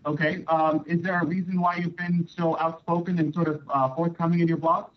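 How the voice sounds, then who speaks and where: A man's voice: fairly high pitch, level tone, fairly quick. A man working as a sports reporter, asking casual, hesitant and rambling questions over a conference call. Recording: dull lo-fi conference call line.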